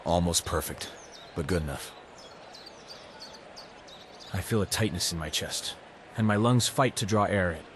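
A man's voice: low-pitched, measured pace, long pauses, fairly bright tone, a little hiss or hum. A man reading first-person scripted narration in a brooding, sardonic manner. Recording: music under the voice. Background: music.

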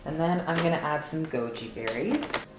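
A young woman with a notably low voice talks casually through a recipe as she works. She is informal and unscripted, like a home vlogger. The room is clearly echoey, with noticeable kitchen noise of pots and utensils behind her. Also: normal speed. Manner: informal, narrating each step while working. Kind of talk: casual cooking demonstration.

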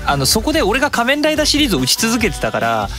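A man's voice: very high-pitched, wildly swooping pitch, very fast. A man in his thirties hosting a casual radio chat as a podcaster. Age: 30s. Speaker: podcast host, music creator. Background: music.

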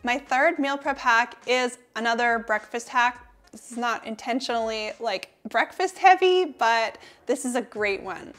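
A woman's voice: a little high, a little slow, few pauses, a bit bright, soft background music. A woman in her thirties hosting a cooking channel, talking through kitchen tips to camera in an upbeat, friendly way from her home kitchen.

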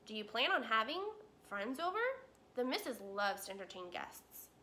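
A woman voicing a stubborn, gruff male beaver who grumbles and speaks bluntly in a folksy way. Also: a little high, big pitch swings, moderate pace, sharp tone, slight background noise.

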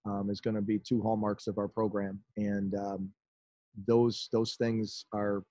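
A man in his forties, a head basketball coach with a Chicago-area American accent, speaking haltingly at a postgame press conference over a video call. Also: lowish voice, flat delivery, dark tone, no room sound. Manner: halting, many restarts and fillers. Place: indoors in front of a team backdrop. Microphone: video call webcam.

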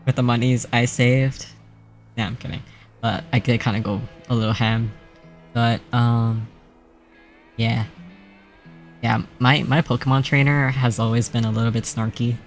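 Male streamer, mid pitch, gravelly fry, flat and soft-spoken, faint game music underneath. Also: moderate pace, pauses often. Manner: soft-spoken, laughs often, self-described monotonous voice. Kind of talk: casual stream sign-off chat.

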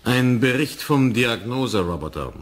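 An actor playing a smug, sardonic military commander in a German radio play, speaking with mocking condescension. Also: male voice, mid-range voice, expressive, moderate pace, a little hiss or hum, natural voice. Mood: stern, sardonic.

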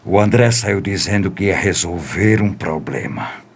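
A man's voice: deep voice, measured pace, slight background noise. A man playing a concerned, questioning confidant in a radio soap opera.

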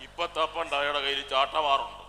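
A man's voice: a little high, little pitch movement, quick pace, pushed and bright. A man playing a sardonic, scheming politician in a stage comedy, colloquial and mocking. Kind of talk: stage comedy skit acting. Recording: echoey stage hall, noisy ambience.

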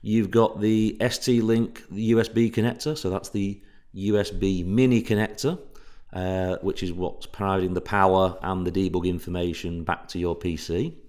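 A man's voice: deep voice, steady tone, moderate pace, darkish tone. A man giving a technical workshop presentation as an instructor, explaining step by step in an informal way.

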